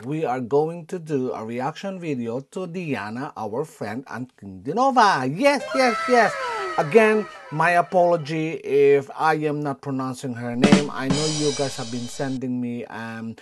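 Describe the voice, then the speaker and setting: A man's voice: medium pitch, a little slow, over music. A man in his thirties with a Filipino accent hosts a casual reaction vlog from his bedroom, gushing with frequent ums and exclamations. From Philippines. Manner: excitable, gushing, frequent "um" and "oh my God". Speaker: reaction video host. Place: bedroom at home.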